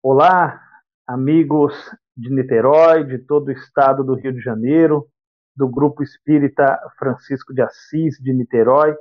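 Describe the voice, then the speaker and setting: A man's voice: mid-range voice, fairly even intonation, normal speed. A man from the of São state, giving a spiritist lecture and explaining points by repeating and rephrasing them. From interior of São Paulo state, Brazil. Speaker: guest lecturer. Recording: dull lo-fi audio.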